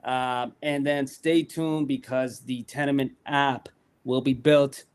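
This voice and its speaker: Man, guest, smooth clear voice, upbeat, casual pitch, fillers. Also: steady tone, slow. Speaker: guest promoting a sports app. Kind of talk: casual promotional chat.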